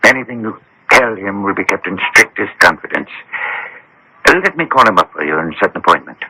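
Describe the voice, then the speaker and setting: An actor playing a genial, persuasive physician who speaks in an inquisitive, deliberate way in radio drama style. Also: male voice, low voice, expressive, sharp tone, slightly rough, no room sound, full character voice, low-quality recording. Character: probing, persuasive male physician, genial and measured. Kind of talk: 1980s radio drama acting. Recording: old radio drama broadcast.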